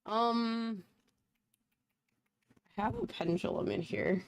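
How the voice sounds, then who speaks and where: A woman's voice: a little high, takes it slowly, gravelly, a little hiss or hum. A young woman who is losing her voice, chatting casually and laughing often as she hosts a live tarot reading stream. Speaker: tarot reader and livestream host. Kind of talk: casual livestream chat.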